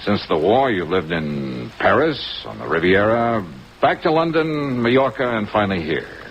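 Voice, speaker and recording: An American actor playing a cynical, hard-boiled insurance investigator in a 1950s radio drama, with a wry, insinuating manner. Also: male voice, mid-range voice, sing-song, normal speed, gravelly. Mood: relentless, matter-of-fact. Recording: muffled old radio recording under rain noise. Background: rain.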